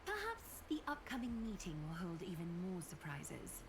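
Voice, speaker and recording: A woman playing a serene, regal weaver of legend in a video game, speaking formally and with measured poise. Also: lowish voice, wildly swooping pitch, background noise. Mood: grave, measured. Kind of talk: scripted video game voice acting.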